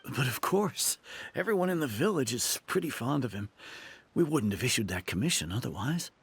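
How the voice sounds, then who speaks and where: A man's voice: medium pitch, very animated, normal speed, breathy edge, exaggerated voice. An actor voicing a friendly, earnest villager in a video game who worries about a missing young man. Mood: worried.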